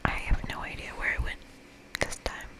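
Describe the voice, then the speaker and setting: A woman whispering softly as an ASMR host, reading a game aloud expressively. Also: moderate pace. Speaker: ASMR host playing a visual novel game.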